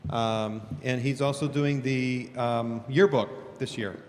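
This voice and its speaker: A man on a school's staff, introducing a guest speaker in a relaxed, halting way. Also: mid-range voice. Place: school hall. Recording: hall reverb, faint ambience.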